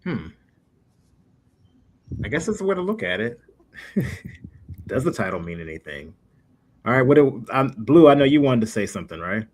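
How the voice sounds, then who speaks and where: A man's voice: medium pitch, big pitch swings, normal speed, pauses often, darkish tone. A man hosting a casual interview, rambling his way around to each question. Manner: rambling, circles around questions before landing them. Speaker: interview host.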